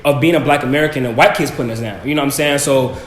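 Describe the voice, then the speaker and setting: A man's voice: medium pitch, racing. A young man on a student panel, talking earnestly and casually, often checking in with "you know what I'm saying". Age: young adult. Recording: echoey room, faint background noise.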